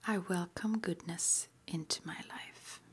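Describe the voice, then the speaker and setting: A woman whispering in a breathy, mostly unvoiced ASMR style, reading out short affirmations slowly and deliberately with pauses. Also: lowish voice. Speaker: ASMR roleplay host.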